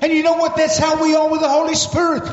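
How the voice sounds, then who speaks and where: A man in his sixties preaching a sermon in short, animated bursts, pausing for an interpreter. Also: very high-pitched. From South Africa. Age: 60s. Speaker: visiting preacher. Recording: muffled, echoey hall.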